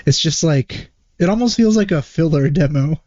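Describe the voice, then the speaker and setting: A male reaction host with a soft, dark tone talks casually and hesitantly, working out thoughts as he goes. The sound is close and dry but muffled, as from a poor microphone. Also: a little high, expressive, moderate pace, no room sound. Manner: hesitant, rambling, thinking out loud. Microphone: wrong microphone, close and dry.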